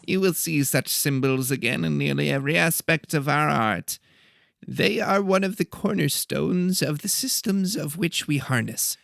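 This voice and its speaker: A man's voice: a little high, normal speed, vocal fry, fairly bright tone, dry recording, pitched above the performer's own voice. A man voicing a small, wiry, pedantic professor with a nasal voice, lecturing in an audiobook. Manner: lecturing, questioning. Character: small wiry male professor, long-winded, pedantic, dry. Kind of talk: audiobook character voice.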